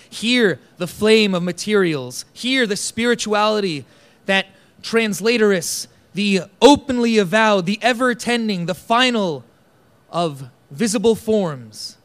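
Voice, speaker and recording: A man giving a slow, declamatory recitation of a poem. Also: high-pitched, lively intonation, fairly bright tone. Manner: measured, declamatory phrasing. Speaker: poetry reader. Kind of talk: slow dramatic poetry recitation.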